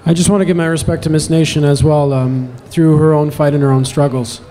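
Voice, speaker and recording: A man's voice: fairly high pitch, fairly even intonation, a little fast, dark tone, in a large hall. A male political candidate speaking at a public debate, assertive. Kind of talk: debate answer. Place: public meeting hall.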